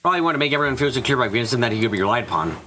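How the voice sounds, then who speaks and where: A man's voice: mid-range voice, animated, racing, pushed and bright, a little hiss or hum. A man reading scripted story narration for a visual novel fan dub.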